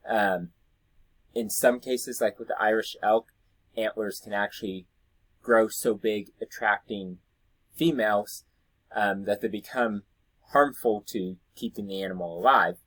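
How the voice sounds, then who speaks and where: A man's voice: fairly low pitch, unhurried, a bit bright, dry recording, rough recording. A man giving an informal instructional talk, explaining as a teacher would and thinking aloud as he goes. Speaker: science teacher educator presenting to teachers.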